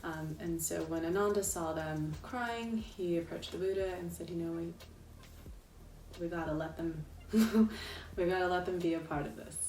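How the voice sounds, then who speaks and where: Young woman chatting softly about tarot, lots of ums, kinda far from the mic, echoey, music going behind. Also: lowish voice, fairly even intonation, normal speed, slight background noise. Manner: soft-spoken, thoughtful, many ums and you-knows. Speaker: tarot reader and interviewee. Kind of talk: reflective personal talk. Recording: roomy sound, voice set back from the mic, clear music behind.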